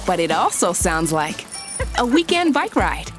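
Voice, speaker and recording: Animated woman reading an advert over music. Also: on the low side, measured pace. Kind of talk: scripted advertising narration.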